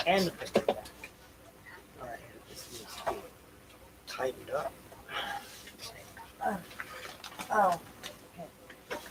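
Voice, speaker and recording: A man's voice: medium pitch, heavy background noise. A man hosting a livestream in rambling, unscripted talk, loosely talking things through to himself and repeating phrases. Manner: loose, talks to himself while setting up. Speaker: livestream host.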